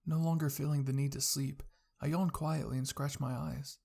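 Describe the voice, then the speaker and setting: Narrator for scripted ASMR roleplay fiction; raspy voice with fry. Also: mid-range voice, steady tone, normal speed, darkish tone. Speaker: narrator reading fan fiction.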